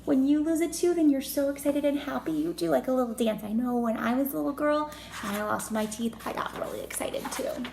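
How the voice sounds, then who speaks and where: Woman, kindergarten teacher, slightly high creaky voice, unhurried storybook reading, child-directed asides, echoey room. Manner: expressive, child-directed, asking questions and commenting. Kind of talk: storybook read-aloud with chatty asides to children. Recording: echoey room with faint noise.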